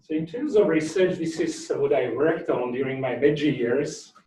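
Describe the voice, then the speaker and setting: A man's voice: a little high, normal speed, dark tone, a little hiss or hum. Distant, echoey middle-aged Slovak-accented man lecturing. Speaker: academic speaker, researcher. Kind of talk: informal conference talk with reminiscences. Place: indoor lecture room at a workshop. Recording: echoey room, distant microphone.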